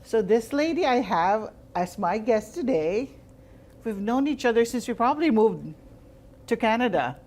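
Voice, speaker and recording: A woman's voice: a little high, big pitch swings, normal speed. A woman from the Philippines hosting an interview show, chatting casually and reacting often with "wow.". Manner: warm reactions, frequent wows. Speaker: show host.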